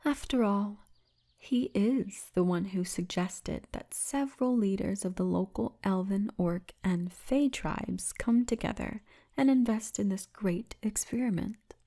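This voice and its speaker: A towering orc militia woman, played by a female voice actor in an intimate ASMR roleplay. She speaks slowly in a mellow, relaxed tone at a medium pitch. Her manner is confident and teasing, with a formal turn of phrase. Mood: proud, inviting. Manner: teasing, confident, formal turns of phrase, often breathy murmur.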